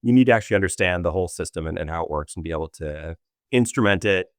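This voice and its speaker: Male exec guest, low voice, rapid delivery, dry close mic, animated. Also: dry recording. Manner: fast, animated explanations with many restarts. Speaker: guest, revenue operations executive. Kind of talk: casual interview chat.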